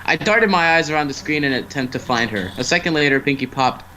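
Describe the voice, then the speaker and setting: A man's voice: fairly high pitch, fast talker, bright, forceful tone. A man narrating fan fiction casually as an amateur storyteller, now and then stumbling over the script. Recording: noisy amateur online stream.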